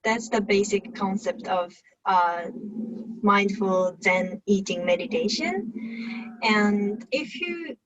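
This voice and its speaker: A woman from Japan with a Japanese accent, answering interview questions on a video call and often pausing to search for words. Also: medium pitch, muffled recording. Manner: halting, searching for words with fillers. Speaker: guest, Zen eating workshop host.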